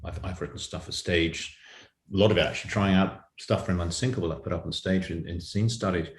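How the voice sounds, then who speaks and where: A man's voice: low voice, fairly even intonation, fairly quick. A man speaking conversationally as a writer in an interview, with a roomy, echoey sound.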